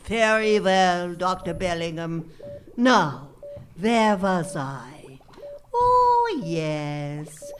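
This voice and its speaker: Female actor as sinister nurse: low, very slow drawl, mock-sweet menace, stage radio play, faint noise. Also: big pitch swings, exaggerated voice. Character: sly, sardonic sinister nurse, villain's accomplice. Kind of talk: live stage radio drama acting.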